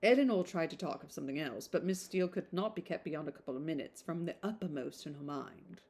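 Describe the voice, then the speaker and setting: A woman's voice: low-pitched, animated, darkish tone, breathy edge. Casual woman reading a book aloud. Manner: informal, halting, puzzles over words. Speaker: amateur reader. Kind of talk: casual read-aloud with off-the-cuff asides.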